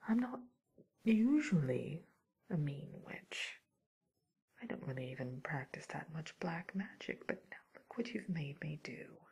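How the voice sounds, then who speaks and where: A woman acts out a sly, nervous witch in an ASMR roleplay. The voice is a low, very breathy whisper with a creaky edge, musing and hesitant as it softly threatens and gossips. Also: lively intonation, unhurried, exaggerated voice. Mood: politely threatening. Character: mildly menacing, nervous, gossipy witch catching a burglar.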